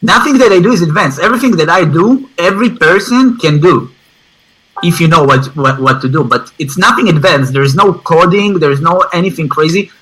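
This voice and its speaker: A man's voice: fairly high pitch, expressive, a little fast, bright, forceful tone, slightly rough, low-quality recording. A man from Israel with an Israeli accent, speaking English as a third language, giving an emphatic, informal interview and repeating phrases for stress. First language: not English, which is a third language. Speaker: interview guest, online advertising student.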